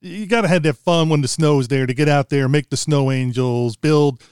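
Male podcast host, American, middle-aged, relaxed interview chat, slightly high clean voice. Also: moderate pace, smooth, dry recording, studio quality. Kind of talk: casual conversational interview.

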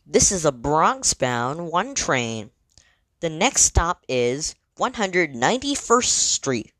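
Low-voiced woman making measured train announcements. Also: big pitch swings, a bit bright, no room sound. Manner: measured, clearly segmented, formal. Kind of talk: scripted transit announcements.

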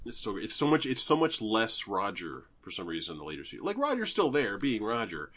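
A middle-aged man chatting on a podcast, his voice sitting a little low and swinging through a wide pitch range. He jokes and laughs easily, with a loose, casual delivery. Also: a little fast, slightly rough. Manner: animated, joking, laughs often. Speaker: podcast host. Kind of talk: casual chat.

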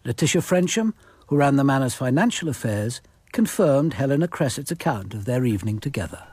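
An authoritative police commander in a radio drama. His husky voice moves with lively intonation in a very dry recording. He is measured and formal, courteous but firm. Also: mid-range voice, no echo. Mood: matter-of-fact. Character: composed, authoritative male police commander questioning suspects. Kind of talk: radio drama acting and first-person narration.

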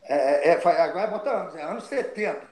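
Animated older Brazilian man with a higher voice. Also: fast, echoey room. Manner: animated, repeats words and restarts. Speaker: guest, retired fighter. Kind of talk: storytelling interview.

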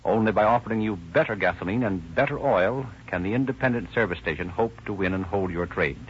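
A man's voice: lowish voice, lively intonation, brisk, slight background noise. A man reading a persuasive sponsor announcement on a 1930s radio show, with a muffled old recording sound. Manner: smooth persuasive sales read.

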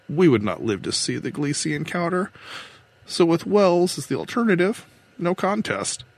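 A man's voice: on the high side, voice pushed up. A man voicing a weary, defiant, idealistic older woman who captains a starship in an audiobook. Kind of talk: audiobook character dialogue.

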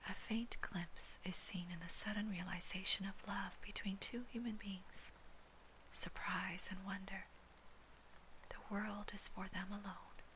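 A woman from Hawaii who whispers throughout, slow and hushed, as she reads Scripture aloud and then comments on it. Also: medium pitch, flat delivery, slight background noise, poor audio. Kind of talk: whispered Bible reading and commentary.

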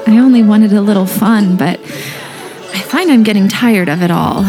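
A woman's voice: medium pitch, moderate pace, warm tone, natural voice. A young woman playing a genteel, earnest teenage girl dressed up for a party in an audio play. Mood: earnest, weary. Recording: music playing behind the voice.

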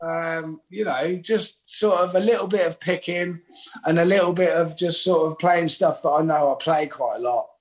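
Chill guy, musician rambling about his gear, a bit high voice. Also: moderate pace. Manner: relaxed, rambling, self-deprecating. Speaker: musician guest. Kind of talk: casual interview chat.

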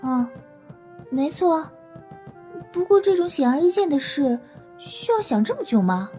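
A woman's voice: high-pitched, very animated, moderate pace, mellow, faint music behind. A woman voicing a meek but blunt young human in an audio drama, hesitant and understated. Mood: deadpan. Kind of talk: Chinese audio drama acting.

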